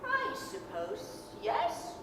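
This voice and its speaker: A woman playing a jolly, mock-exasperated young gentleman in a 1930s radio-style reading. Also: very high voice, huge pitch swings, extremely slow, full character voice. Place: large theatre hall, staged reading. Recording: distant, very echoey hall, faint noise.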